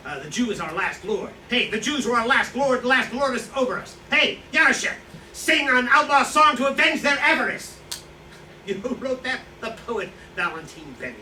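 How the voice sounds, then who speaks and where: A man acts out a boastful, boozy self-styled outlaw in a stage play reading. His voice sits high for a man, and he rambles on in smug self-justification. It is picked up from far off in an echoey room with clear background noise. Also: lively intonation, moderate pace, bright, forceful tone, full character voice. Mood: defiant, boastful. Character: boastful, hard-drinking male Slovak profiteer, self-styled outlaw. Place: indoor staged reading, distant microphone.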